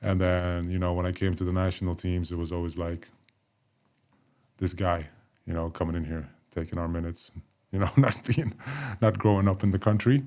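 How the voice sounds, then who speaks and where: A former basketball player in his forties who co-hosts a podcast, chatting in a relaxed, rambling way with many fillers. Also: deep voice, normal speed. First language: Polish. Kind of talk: casual conversation.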